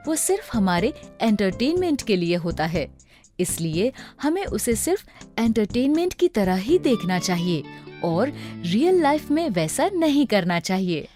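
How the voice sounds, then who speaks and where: A woman's voice: medium pitch, a little mellow. A woman narrating a scripted story for children. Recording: music behind the voice.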